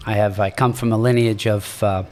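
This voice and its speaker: A man in his sixties from Morocco, a native French speaker, telling his life story as a podcast guest in a halting, earnest way. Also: on the low side, fairly even intonation, big-room sound. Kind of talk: reflective storytelling in an interview.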